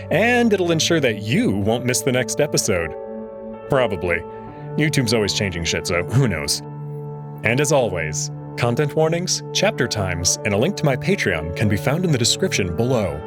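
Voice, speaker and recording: Male let's play host, visual novel reading, lively intonation, faint music underneath. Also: mid-range voice. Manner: expressive, wry.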